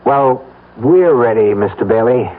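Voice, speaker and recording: An actor playing a vengeful, insistent conspirator in an old radio drama, pressing someone toward murder. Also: male voice, mid-range voice, expressive, a little slow, close to an everyday voice. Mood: menacing, insistent. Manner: insistent, menacing. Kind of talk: 1970s radio drama acting. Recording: muffled old radio broadcast.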